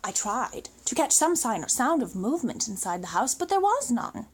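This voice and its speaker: A woman reading a children's story aloud expressively, with dramatic emphasis and suspenseful pauses. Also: fairly high pitch, very animated, a little hiss or hum.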